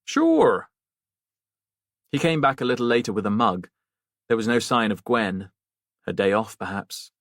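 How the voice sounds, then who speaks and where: A man's voice: lowish voice, moderate pace, fairly bright tone, no room sound, studio quality. A man narrating a mystery novel as a scripted audiobook reading.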